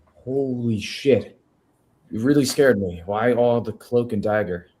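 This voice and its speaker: A man's voice: mid-range voice, unhurried, warm tone, slightly rough. A man reading the part of an anxious, unwell newsman from a crime family at a film script table read. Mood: uneasy.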